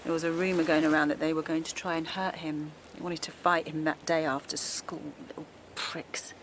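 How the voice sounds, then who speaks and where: A woman's voice: sits low, flat delivery, moderate pace, natural voice. Woman acting worn-out mother, noticeable ambient noise. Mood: bitter frustration. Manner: weary, confiding, emotionally strained. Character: Alice, a worn-out, worried mother of a teenage son. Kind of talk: naturalistic audio drama acting.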